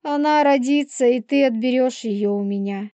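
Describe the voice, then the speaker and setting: A woman's voice: a little high, steady tone, measured pace, dark tone, no echo, natural voice. An actress playing a manipulative pregnant young werewolf woman in an audiobook, spiteful, bitter, taunting and theatrical. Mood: bitter, defiant. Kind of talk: dramatised audiobook dialogue.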